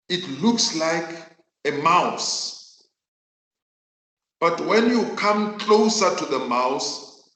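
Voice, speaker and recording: A South African man whose mother tongue is Northern Sotho, teaching a virtual class in an emphatic, deliberate way and repeating phrases for effect. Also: a little high, a bit bright. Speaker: accounting teacher. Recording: echoey room.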